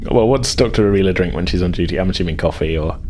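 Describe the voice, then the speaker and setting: A man with a low voice runs a tabletop role-playing game. He narrates conversationally, pausing and hedging as he builds each scene. There is audible background noise on the recording. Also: animated, a little mellow. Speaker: game master.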